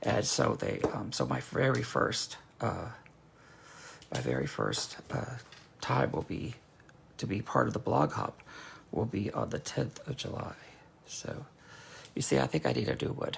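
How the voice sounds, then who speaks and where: A man demonstrating card making in a casual, rambling way, with a hoarse, sometimes nasal voice. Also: lowish voice, wildly swooping pitch, measured pace, pauses often, a little hiss or hum. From North Carolina. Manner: chatty and rambling, frequent fillers and restarts.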